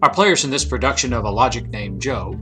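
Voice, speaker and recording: A man's voice: mid-range voice, expressive, fairly quick. A man announcing scripted credits as the announcer of a radio drama. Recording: music playing behind.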